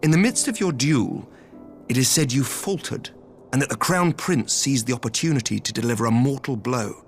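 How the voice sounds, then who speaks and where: An actor voicing a composed, caring nobleman in a fantasy video game, speaking formally and gently. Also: mid-range voice, moderate pace, soft background music, close to an everyday voice. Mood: relieved, solemn. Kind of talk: dramatic video game voice acting.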